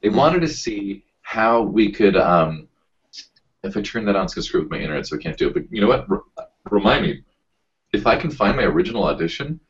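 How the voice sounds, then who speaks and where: A man with a very low voice, a voice actor chatting casually as a podcast guest, rambling and self-deprecating as he tells stories. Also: expressive, a little fast, muffled recording.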